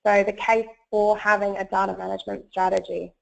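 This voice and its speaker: A woman presents over an online meeting, her voice gravelly and croaky with a cold. She talks in a friendly way, with plenty of ums and uhs. The call audio is dull and lo-fi. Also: mid-range voice, little pitch movement, fairly quick. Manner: friendly, with frequent fillers. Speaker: webinar presenter, solutions consultant. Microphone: web conference audio. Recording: muffled lo-fi web call audio.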